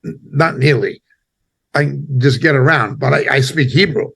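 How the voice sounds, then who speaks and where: A man giving impassioned spoken commentary as a preacher, indignant and emphatic, speaking in short halting phrases. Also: mid-range voice, creaky, a bit bright, slightly rough.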